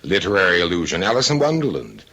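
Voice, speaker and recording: An actor playing a fussy, aloof, wealthy middle-aged bachelor in a radio drama, speaking with pompous precision and dry wit. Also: male voice, deep voice, wildly swooping pitch, fast talker, sharp tone, exaggerated voice. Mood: fastidious. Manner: pompous, precise, drily witty. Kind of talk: old-time radio drama acting.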